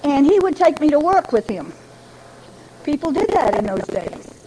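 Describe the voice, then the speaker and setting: An older woman from Rhode Island tells her personal recovery story to a meeting in a chatty, folksy way with gentle humor. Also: high-pitched, big pitch swings, moderate pace, a little hiss or hum, low-quality recording. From Rhode Island, New England. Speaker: Al-Anon member giving a talk. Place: speaking to a gathering.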